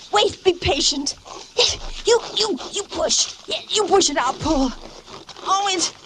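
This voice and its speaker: An actress playing a secretive wife descending into madness, intense and theatrical, confiding to herself as in a suspense radio drama. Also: female voice, extremely high pitch, background noise, heavily acted. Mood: frantic, straining. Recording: radio drama with loud music behind.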